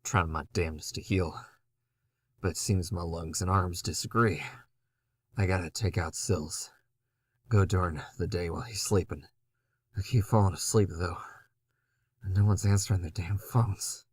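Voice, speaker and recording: Low-voiced, gritty man as an undead antihero. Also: steady tone, moderate pace, long pauses, breathy edge, no echo, clean studio sound. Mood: wry, weary. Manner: wry, conversational, gritty storytelling. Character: battered, foul-mouthed undead urban antihero, wry and weary. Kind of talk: first-person horror audio drama narration.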